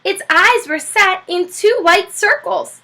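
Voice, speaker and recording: A woman's voice: very high voice, slow pace. A woman teacher reading a children's chapter book aloud, telling it expressively. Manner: expressive, animated storytelling.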